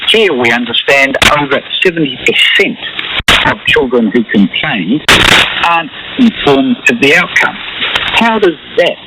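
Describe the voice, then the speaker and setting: Emphatic man with swooping pitch, noisy broadcast. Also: very animated, unhurried. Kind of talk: formal spoken interview comment. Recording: shortwave broadcast, very loud noise.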